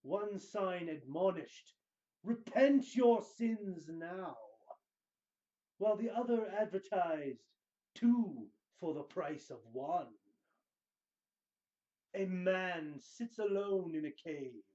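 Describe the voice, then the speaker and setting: A man giving a dramatic reading of fiction, with theatrical, deliberate and weighty phrasing. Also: high-pitched, animated, warm tone, dull audio. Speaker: show guest host reading book excerpts.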